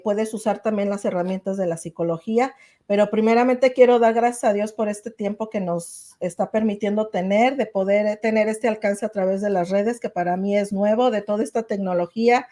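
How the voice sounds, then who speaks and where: Mellow-voiced woman hosting a faith podcast. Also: mid-range voice. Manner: warm, effusive, grateful, with many "eh" fillers. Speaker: podcast host. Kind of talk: casual interview chat.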